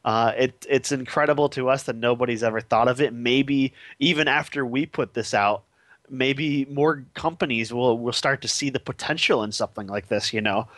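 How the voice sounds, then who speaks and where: A man in a casual interview about his game, eager and quick to laugh. His voice sits mid-range, and the sound is dry with no room to it. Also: medium pitch, a bit bright, no echo. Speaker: game developer guest.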